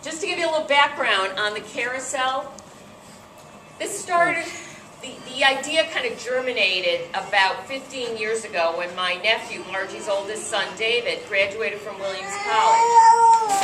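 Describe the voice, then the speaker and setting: A middle-aged American woman with a loud voice, hosting an event and giving an informal, chatty talk to a gathering of guests. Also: fairly high pitch, slight background noise. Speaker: event host and board director. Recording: echoey room from far off, faint music.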